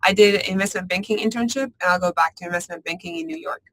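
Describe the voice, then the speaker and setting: A woman from Georgia answering on a student panel in a lively, casual way. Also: medium pitch, fast talker, no room sound, rough recording. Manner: lively, laughs easily.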